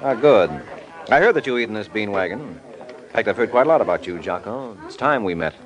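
A male actor as a cocky American private detective in a classic radio crime show. His voice sits a little low, and he tosses out wisecracks with wry, unhurried confidence. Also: expressive, natural voice. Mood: cool, needling. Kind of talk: 1940s-50s American radio detective drama acting.

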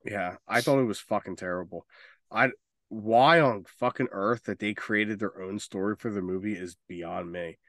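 A man's voice: fairly low pitch, moderate pace, a bit bright, dry recording, clean studio sound. A man co-hosting a podcast, chatting casually and giving blunt opinions with plenty of swearing. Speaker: podcast co-host. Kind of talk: casual opinionated chat about games and films.

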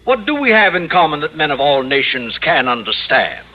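Stern education official, formal dry manner, slightly high voice, lively intonation, muffled old radio sound. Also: male voice, moderate pace. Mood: formal, self-assured. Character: stern, authoritative male school board head. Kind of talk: 1950s radio comedy acting.

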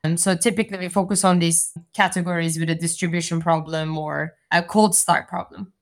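A woman's voice: fairly low pitch, level tone, fairly quick. A woman from Turkey, a venture capital partner, giving structured, articulate answers in an interview. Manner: articulate, structured explanations with frequent "so" and "you know".

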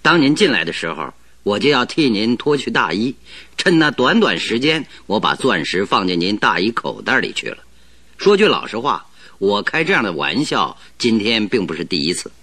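An actor playing a composed, politely teasing detective in a radio play. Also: male voice, lowish voice, sing-song, moderate pace, pushed and bright, dry recording, full character voice. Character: calm, wry male detective, middle-aged. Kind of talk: 1970s Chinese radio drama acting.

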